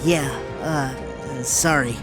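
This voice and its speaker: A man's voice: mid-range voice, steady tone, extremely slow, distant mic, music in the background. A man playing a nervous but brave teenage boy hero in an audio drama, tentative and earnest. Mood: sheepish.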